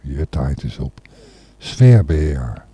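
Very dark, low-voiced male podcast host. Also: very animated, slow, husky, no room sound. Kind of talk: informal, unscripted radio presenting.

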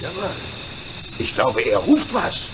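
A man playing a calm, fearless, good-natured engine driver in a German radio play, speaking reassuringly. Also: fairly high pitch, unhurried, noisy background.

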